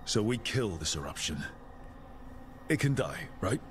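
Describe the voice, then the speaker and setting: A steady warrior hero in a fantasy game. His voice is fairly low, decisive and plain-spoken, with a little noise behind it. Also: measured pace. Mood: determined. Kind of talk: video game dialogue acting.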